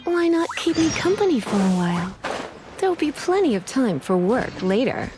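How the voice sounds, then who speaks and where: A woman's voice: on the high side, sing-song, normal speed. A woman voicing a coaxing, easygoing female character in a video game, leisurely in manner. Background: music.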